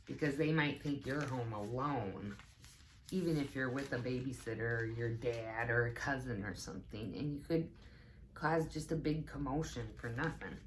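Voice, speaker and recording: A woman's voice: extremely low pitch, slight background noise, rough recording. A woman teaching assistant reading a children's storybook aloud and explaining it in simple, instructive phrases.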